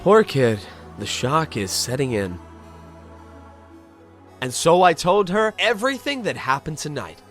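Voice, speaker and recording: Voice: mid-range voice, very animated, a little slow, long pauses, fairly bright tone, faint music behind. An actor playing a bewildered, polite amnesiac spirit with dry wit in a video game fan dub, hesitant and given to exaggerated surprise. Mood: musing narration. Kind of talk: dramatic fan dub with inner monologue.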